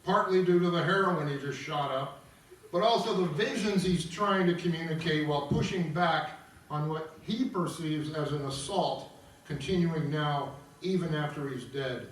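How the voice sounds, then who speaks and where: A man's voice: a little high, moderate pace. A man reading stage directions and explaining an opera to an audience as narrator of a table read. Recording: very echoey room, distant mic, music.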